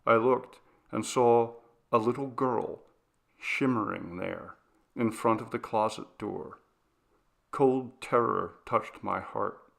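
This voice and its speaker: A man's voice: mid-range voice, slow pace, vocal fry, fairly bright tone. A man narrating a scripted ghost story as an audiobook reader.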